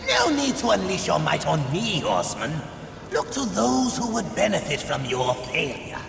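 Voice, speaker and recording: An actor voicing a sly, mock-courteous demon in a video game, theatrical and taunting with a gravelly edge. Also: male voice, high-pitched, wildly swooping pitch, moderate pace, heavily acted. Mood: sly insinuating. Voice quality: creaky, gravelly. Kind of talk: dramatic video game acting. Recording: echoey hall reverb, music behind.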